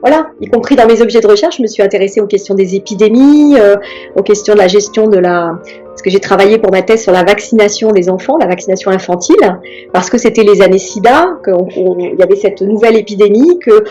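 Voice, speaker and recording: A middle-aged woman from France, speaking as an interview guest in a reflective, hesitant way with many pauses and restarts. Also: mid-range voice, animated, warm tone, muffled recording, soft background music.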